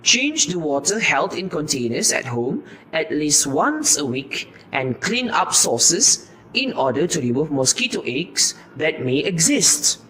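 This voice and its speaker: A man acts out a heroic, instructive puppet-show champion. His voice sits fairly high and swoops around as he slowly recites his lines with earnest deliberation. There is steady noise in the amateur recording. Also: heavily acted, poor audio. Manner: deliberate, declamatory, recited delivery. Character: heroic mosquito destroyer, male, earnest and instructive.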